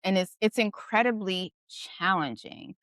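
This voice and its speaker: A woman's voice: medium pitch, measured pace, fairly bright tone, no echo, clean studio sound. A middle-aged woman hosting a casual conversation, thoughtful and questioning, often adding "you know" and "right". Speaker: podcast host.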